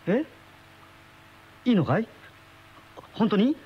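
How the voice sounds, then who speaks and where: A man's voice: high-pitched, sing-song, slow, slight background noise, heavily acted. An actor playing a pensive man in his thirties with a secret obsession, in a radio drama. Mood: admiring. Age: 30s. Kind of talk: radio drama acting with first-person narration. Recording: dull lo-fi old radio recording, music behind.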